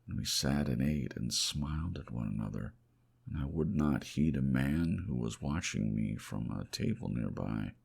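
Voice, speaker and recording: Very deep, creaky male storyteller. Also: lively intonation, moderate pace, darkish tone. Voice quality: gravelly, creaky. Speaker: audiobook reader. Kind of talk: hushed ASMR audiobook narration of classic fiction.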